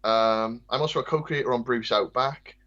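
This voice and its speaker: A man with a Welsh accent, a podcast guest and comic artist, chatting in a soft, light, self-deprecating way. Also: medium pitch, moderate pace, lo-fi sound. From Wales. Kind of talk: casual chat.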